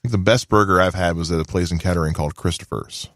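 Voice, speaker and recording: A man chatting casually and telling comic anecdotes in a jokey way, quick to laugh. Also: sits low, fairly bright tone, no echo, clean studio sound. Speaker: comedian guest on a radio show. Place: small dry studio. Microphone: studio microphone.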